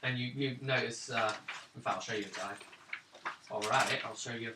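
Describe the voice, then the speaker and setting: A man acting as game master, narrating a tabletop roleplay game in an offhand, halting way. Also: mid-range voice. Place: indoor room at gaming table. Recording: echoey room, distant mic, faint noise.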